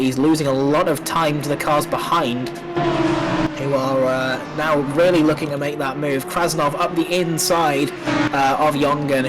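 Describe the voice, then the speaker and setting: A man's voice: fairly high pitch, background noise. A man doing live play-by-play commentary on a sim race, slightly nasal from a coming cold, with many fillers and restarts.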